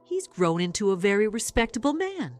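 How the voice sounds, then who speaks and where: A man voicing a doting, gently insistent elderly mother in an audiobook drama. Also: sits high, sing-song, a little fast, faint music behind, pitched above the performer's own voice. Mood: coaxing, fond.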